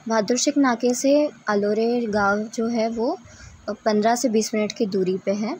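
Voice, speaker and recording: A woman's voice: fairly high pitch, fairly even intonation, measured pace, darkish tone, slight background noise, low-quality recording. A woman narrating a casual travel vlog in a chatty way, speaking directly to her viewers. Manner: chatty, addresses viewers as friends. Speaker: travel vlogger.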